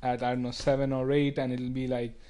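A man's voice: mid-range voice, flat delivery, a little fast, warm tone, smooth. A man with an Indian accent, a native Tamil speaker, chatting casually on a podcast. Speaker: podcast guest or co-host. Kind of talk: casual chat.

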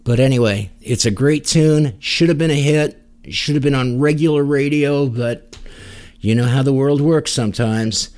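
A man's voice: mid-range voice, normal speed, a little mellow. A man hosting a music podcast, introducing songs with casual, chatty commentary and a fan's informal enthusiasm.

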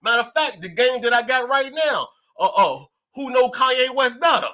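A man hosting a casual comedy podcast, talking with a stutter, joking around and breaking into loud outbursts. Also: very high voice, lively intonation, moderate pace. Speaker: podcast host.

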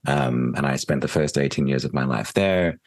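South African man, 40s, very low voice, reflective podcast guest. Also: normal speed. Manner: reflective, with frequent ums and restarts. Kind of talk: podcast interview.

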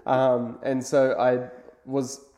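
A man's voice: mid-range voice, drawn-out words. A man in his thirties from New Zealand with a New Zealand accent, chatting casually as an interview guest at home, with many "um"s and "you know"s. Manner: relaxed, lots of "um" and "you know". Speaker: guest, brand founder. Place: living room at home.

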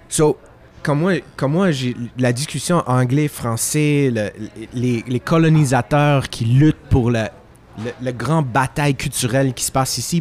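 A man's voice: medium pitch, a little mellow. A Québécois musician guest in a casual interview, switching between French and English with frequent restarts. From Quebec, Canada.

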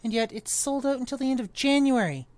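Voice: sits high, expressive, brisk, pitched above the performer's own voice. A reader voicing a pompous, grumpy older male magician who blusters disdainfully. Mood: grumbling.

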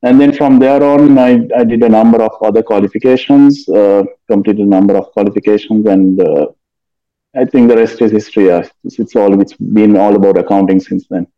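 Middle-aged Sri man, lower voice, measured and hesitant, dull lo-fi recording. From Sri Lanka. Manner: measured, hesitant with frequent fillers. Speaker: guest, finance executive and author. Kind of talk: casual interview answers.

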